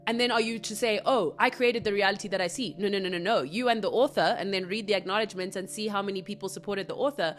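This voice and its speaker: A woman from Africa, hosting a solo spiritual podcast, earnest and reflective with soft asides. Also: mid-range voice, quick pace, few pauses, slight background noise. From South Africa. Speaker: podcast host.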